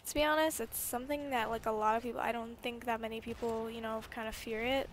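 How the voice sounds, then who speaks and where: An eighteen-year-old woman telling a personal story casually over gameplay, rambling and animated, with frequent swearing. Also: on the high side, fast, a little hiss or hum. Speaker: gaming YouTuber.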